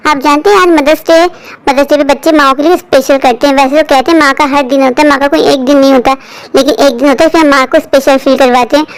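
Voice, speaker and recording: A principled, outspoken young sister-in-law acted by a woman. Her voice is high and she admonishes earnestly, as in a cartoon moral story. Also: lightly acted, low-quality recording.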